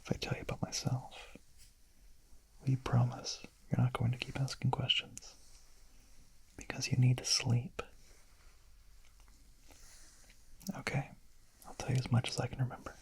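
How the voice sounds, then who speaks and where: A man playing a shy, gentle young vampire in a whispered ASMR roleplay, murmuring softly, hesitantly and intimately in a breathy voice. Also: mid-range voice, completely flat delivery, moderate pace, long pauses, lightly acted, poor audio. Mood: tender, soothing. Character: shy, gentle young male vampire, smitten misfit confessing.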